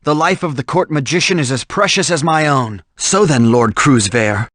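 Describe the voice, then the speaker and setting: A man's voice: on the high side, normal speed, a bit bright, dry recording, studio quality. A man playing a proud, sickly, sorrowful knight in a video game, solemn and formal. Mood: resolute. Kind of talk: English video game dub acting.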